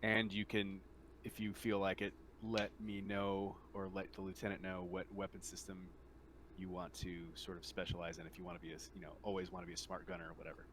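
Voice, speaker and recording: A man explaining tabletop game rules casually as game master, in a halting, hesitant way. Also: deep voice, level tone, noisy background.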